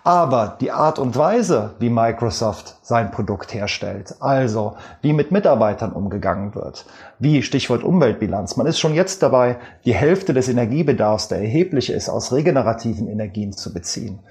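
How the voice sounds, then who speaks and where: A man with a Rhineland German accent, a guest expert giving measured, explanatory answers with frequent fillers in an interview. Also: medium pitch, lively intonation, a little mellow.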